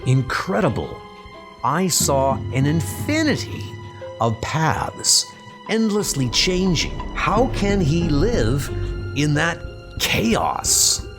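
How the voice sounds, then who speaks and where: A man's voice: on the high side, sing-song, slow pace, music in the background, voice pushed up. A man voicing an earnest, troubled superhero with an anxious manner in a comic book dub. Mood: awed.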